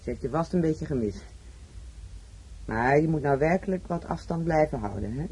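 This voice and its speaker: Husky senior nurse. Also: female voice, sits low, animated, normal speed, a little mellow, full character voice. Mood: gently firm. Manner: coaxing, admonishing, with questioning tags. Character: senior nurse, firm but concerned supervisor. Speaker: radio drama actress. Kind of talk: radio play dialogue.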